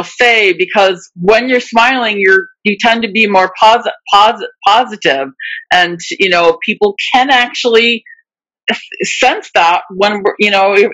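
A woman's voice: medium pitch, a bit bright, low-quality recording. A woman hosting a video call discussion, speaking with a stutter that repeats words and sounds. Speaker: webinar host.

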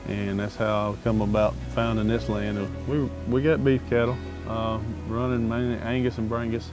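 Folksy Southern man, low voice, music behind. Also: flat delivery, moderate pace. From Arkansas, United States. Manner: folksy, plainspoken. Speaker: farmer. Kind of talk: casual interview storytelling. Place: outdoors in an open pasture.

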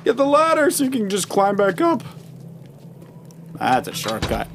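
A man's voice: high-pitched, huge pitch swings, a little slow, background noise. A man giving casual, playful commentary as a co-host while playing a video game, sometimes slipping into sing-song asides. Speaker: let's play co-host, father playing with his child. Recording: game music behind the voice. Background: video game music.

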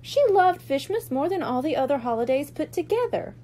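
A woman reading a children's book aloud as a library storyteller, expressive and clearly phrased. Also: high-pitched, fairly quick.

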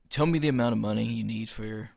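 Casting: man to play rough, kindly dealer, heavy vocal fry, foul-mouthed, close dry podcast drama sound. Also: lowish voice, darkish tone, no echo. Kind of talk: audio drama acting.

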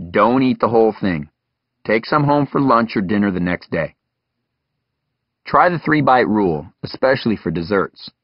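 A man's voice: lowish voice, no echo, highs cut off. A man reading a scripted instructional audiobook as its author, giving direct, advisory guidance. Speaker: doctor and author reading his own audiobook.

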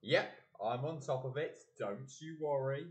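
A man acts a teasing, easygoing Englishman in an audio play. His voice is mid-pitched and sits in an echoey room. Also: unhurried, lightly acted. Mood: breezy, teasing. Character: breezy, teasing man of the family.

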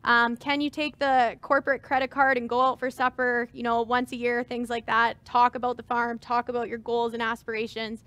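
A woman's voice: a little high, level tone, moderate pace, few pauses, a bit bright, no echo. A woman in her thirties on a webinar panel, giving earnest advice about planning with many fillers. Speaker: farm transition advisor. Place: stage with dark curtains.

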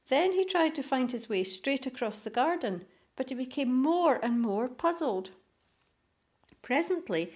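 A woman reading a children's story aloud as scripted narration, in an expressive storytelling manner. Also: on the high side. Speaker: storybook reader.

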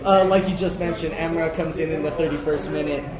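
A man's voice: a little high, fairly even intonation, brisk. A man interviewing a coach after a match, asking long, wandering questions with fillers. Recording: echoey hallway, crowd noise behind.

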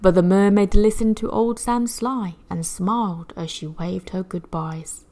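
A woman narrating a rhyming children's picture book in a measured, rhythmic, theatrical way. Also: mid-range voice, fairly even intonation, dark tone. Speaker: children's story reader.

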